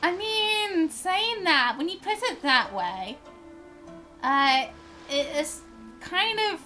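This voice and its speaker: A woman chatting casually and excitably while livestreaming a game, in a bubbly, giggly manner. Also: very high voice, takes it slowly. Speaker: live streamer. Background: game music.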